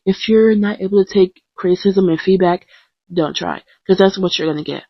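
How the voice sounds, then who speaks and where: A woman's voice: mid-range voice, normal speed, breathy edge, dry recording. A young woman talking casually in a podcast style, chatty and self-deprecating, with lots of ums and restarts. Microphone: close mic, blank screen. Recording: dull lo-fi home recording.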